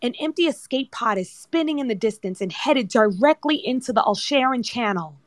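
A woman's voice: a little high, lively intonation, normal speed, dry recording, studio quality. A woman narrator reading screenplay directions as scripted narration. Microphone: remote audio chat app.

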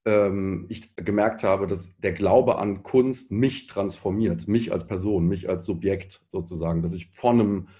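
A man in his thirties thinks aloud in a panel discussion. His voice is low and soft-edged, with a relaxed, dark tone. He gropes for the right term and often restarts his sentences. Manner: halting, searching, often rephrasing mid-sentence. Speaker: panel guest, pop musician.

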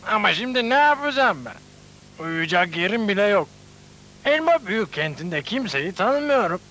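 An actor playing a sociable, exclamatory cartoon drifter in a Turkish dub. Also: male voice, high voice, very animated, normal speed, bright, forceful tone, exaggerated voice. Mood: lost, plaintive. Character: homeless, sociable male cartoon newcomer dreaming of Acapulco. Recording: noisy, hissy old VCD dub.

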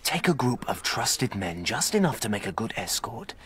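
An actor playing an earnest, loyal knight, measured and urging, in a historical audio drama. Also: male voice, mid-range voice, big pitch swings, fairly bright tone, breathy edge, heavily acted. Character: Robert, loyal, earnest knight and royal companion. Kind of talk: historical radio drama acting.

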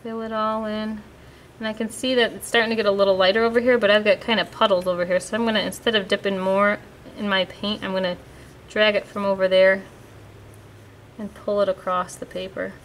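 A woman walks through a watercolor lesson in a calm, patient, step-by-step way, speaking as she paints at a table. Her voice sits at an ordinary middle pitch. A faint hum of room tone stays under her voice. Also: brisk, rough recording. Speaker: art teacher. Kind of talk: painting demonstration. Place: indoors at a table, hands-only overhead camera.

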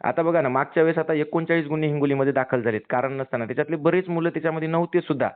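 A man's voice: a little high, rapid-fire, clean tone. A man with a Marathwada Marathi accent, speaking earnestly and insistently on a phone call, pleading and explaining his point. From rural Maharashtra, India. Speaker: community activist calling a politician. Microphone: phone line. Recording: tinny narrowband phone call recording.

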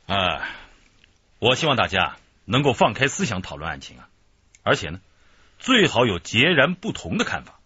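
A man's voice: fairly low pitch, wildly swooping pitch, moderate pace, pushed and bright, breathy edge, dry recording, heavily acted. An actor playing an encouraging male police team leader in a radio drama. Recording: dull lo-fi radio drama recording.

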